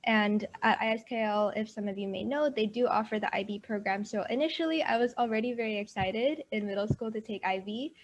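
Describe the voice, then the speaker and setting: A woman's voice: medium pitch, fairly quick, hardly any pauses, muffled recording, a little hiss or hum. A young woman with a Texan-tinged American accent, a university student speaking as a guest on a virtual panel, upbeat and chatty. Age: young adult. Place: at home in summer heat.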